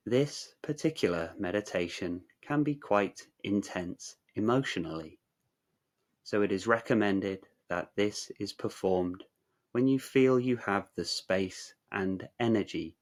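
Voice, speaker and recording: A man narrating a guided meditation in a measured, soothing, instructional way, leaving long pauses. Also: on the low side.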